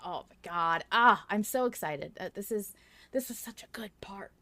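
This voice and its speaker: A woman hosting a casual fan podcast, talking in an excitable, emphatic way and swearing freely. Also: medium pitch, normal speed. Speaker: podcast host.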